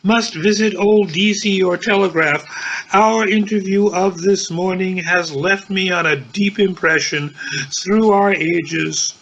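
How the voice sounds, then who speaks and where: A brooding, tipsy young intellectual played by an older man muses to himself in a literary play. The voice is high and husky, with unhurried delivery. The sound is dull and lo-fi. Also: steady tone, fairly bright tone, slight background noise, exaggerated voice. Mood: wry musing. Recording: dull lo-fi home recording.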